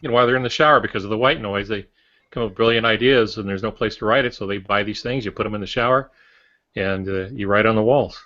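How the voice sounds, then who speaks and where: A man's voice: on the low side, fast talker, fairly bright tone. American man in his sixties, casual. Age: 60s. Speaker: podcast co-host. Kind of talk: casual chat. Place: home office with shelves. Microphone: earphone headset on video call. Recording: dull, lo-fi video call audio.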